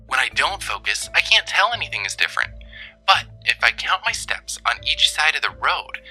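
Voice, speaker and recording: A performer voicing an earnest, mystified storyteller in an audio drama. The voice is very bright and lively in pitch, confiding, with music underneath. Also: full character voice, low-quality recording. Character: earnest, mystified listener telling an eerie story. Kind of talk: dramatic first-person storytelling.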